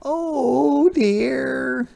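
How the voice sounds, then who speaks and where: A little female hedgehog from a storybook, acted out by a man, worried and plaintive. The voice jumps up high into a squeaky wail, drawn out and full of dismay. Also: extremely slow, vocal fry, mellow, exaggerated voice. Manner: squeaky, wailing delivery. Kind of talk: storybook character voice.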